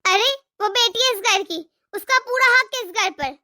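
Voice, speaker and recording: Lady playing naggy sarcastic mother-in-law in a cartoon, super high bright voice, demanding. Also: normal speed, full character voice. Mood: accusing. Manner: nagging, sarcastic. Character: scolding, demanding mother-in-law, fiercely partial to her daughter. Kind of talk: animated family drama acting.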